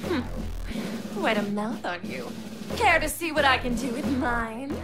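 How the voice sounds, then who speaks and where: A woman's voice: high voice, lively intonation, a little slow. An actress playing a haughty, sadistic female tyrant in an anime video game, theatrical and mocking. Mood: taunting. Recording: loud music behind the voice.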